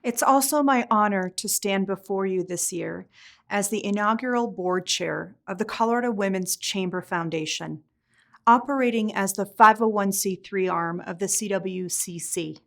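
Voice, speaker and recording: A woman's voice: mid-range voice, moderate pace, gravelly, fairly bright tone. A middle-aged woman from Poland, a native Polish speaker, giving an earnest prepared speech as a foundation board chair.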